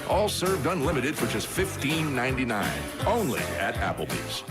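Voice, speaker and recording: A male commercial announcer delivers a scripted promo in a medium-pitched voice with big melodic swings. Loud music plays underneath. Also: huge pitch swings, moderate pace. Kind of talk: scripted restaurant ad voice-over.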